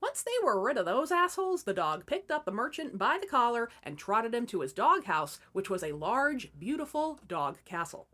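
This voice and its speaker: A woman's voice: on the high side, sing-song, moderate pace, hardly any pauses, pushed and bright. A woman hosting and narrating fairy tales as scripted comedy, with wry, irreverent asides. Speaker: host and storyteller.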